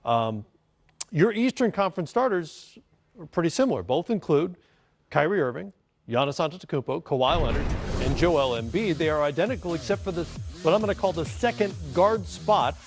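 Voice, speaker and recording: This is a man presenting a studio sports panel. His voice sits a little higher than most men's. He talks conversationally as a host, with soft music underneath. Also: expressive, normal speed.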